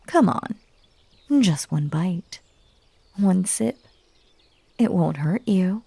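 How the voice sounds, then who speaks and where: A breathy, sweetly menacing bunny woman, acted by a woman in an intimate audio roleplay. She speaks slowly with a creaky edge, slipping toward whispers. Her manner is clingy and coaxing, gushing one moment and stern the next, and the sound is close and dry. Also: on the low side, mellow, no room sound, exaggerated voice. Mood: coaxing, sly. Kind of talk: roleplay audio drama acting.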